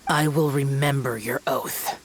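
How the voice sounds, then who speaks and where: An actor playing a stern immortal demon slayer in a video game, speaking gravely and formally. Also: male voice, medium pitch, steady tone, unhurried, background noise, lightly acted. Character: stern, solemn immortal demon-slaying male guardian spirit.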